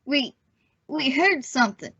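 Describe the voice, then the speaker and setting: Woman playing a high, bright radio-play boy. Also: lively intonation, measured pace, heavily acted. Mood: scared. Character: cheeky, put-upon son, a young boy. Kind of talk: old-time radio family comedy acting. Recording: dull, muffled recording.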